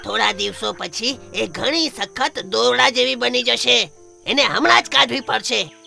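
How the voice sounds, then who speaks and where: A man voicing a cautious, stern parrot chief in a children's cartoon story, with a gravelly, squawky, admonishing delivery. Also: very high voice, unhurried, bright, forceful tone, faint music behind, full character voice. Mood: stern warning. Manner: urgent, admonishing. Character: cautious, stern old chief parrot warning his flock.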